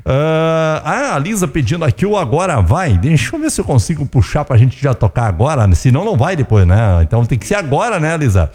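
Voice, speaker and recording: A man's voice: on the high side, big pitch swings, fairly quick, few pauses, darkish tone, slightly rough. A middle-aged man, hosting a casual radio show with a slightly strained voice, always checking in with his listeners. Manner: chatty, keeps tagging questions to listeners. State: voice strained, close to giving out. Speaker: radio host. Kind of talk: casual radio chat with ads and song requests.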